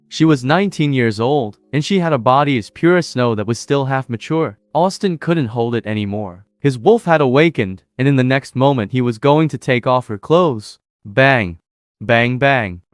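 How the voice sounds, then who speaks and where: A man reads a dramatic story in an even, steady voice-over. The sound is dry and close, and soft music plays underneath. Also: mid-range voice, no room sound. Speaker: narrator. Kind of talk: scripted story narration.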